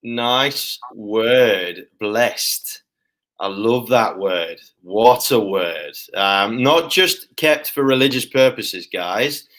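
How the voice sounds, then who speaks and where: A man's voice: lowish voice, expressive, very slow, a bit bright. Chatty male show host. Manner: chatty, many fillers, effusive praise. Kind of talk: live show interview.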